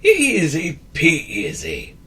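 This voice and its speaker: A man doing a wheezy, booming fat wizard. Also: wildly swooping pitch, takes it slowly, full character voice. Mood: cheerful. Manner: exclaiming, bossy, cheerful. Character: large fat excitable male wizard.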